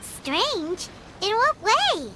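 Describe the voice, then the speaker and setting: A woman voicing a tiny, excitable, naive fairy companion in a video game, with a squeaky childlike voice and cutesy, exclamatory delivery. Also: extremely slow, background noise, heavily acted. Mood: puzzled. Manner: exclamatory, cutesy, refers to self in third person. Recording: loud background music.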